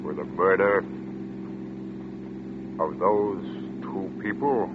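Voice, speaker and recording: A cold, scheming hypnotist killer in an old 1940s radio play, talking in an unhurried, low, lulling murmur. The sound is thin and tinny like an old broadcast, with a faint hum underneath. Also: male voice. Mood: hypnotic. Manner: slow, soothing, commanding hypnotic murmur. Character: cold scheming male hypnotist murderer, middle-aged.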